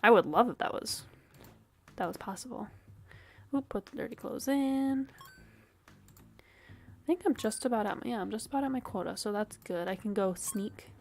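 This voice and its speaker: A woman's voice: a little high, normal speed, pauses often, slight background noise. A woman streamer with a sniffly, dry throat, casually thinking aloud and swearing while she plays a game. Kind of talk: casual game livestream commentary.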